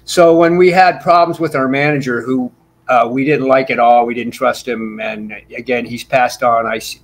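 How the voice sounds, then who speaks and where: An older man chatting on a video call from a small room at home, talking at length and drifting from story to story. His voice sits in an ordinary middle pitch, relaxed and unhurried. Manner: talkative, rambling storyteller. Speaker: bassist guest. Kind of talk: casual interview chat. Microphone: video call with desk mic.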